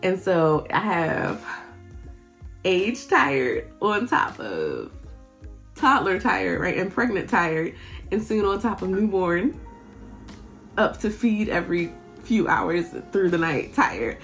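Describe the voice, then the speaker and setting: A woman's voice: lowish voice, sing-song, slow pace, pauses often, soft background music. A woman in her forties, a vlogger and health coach, talking candidly and sounding tired, laughing often and restarting her sentences. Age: 40s. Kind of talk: candid personal vlog.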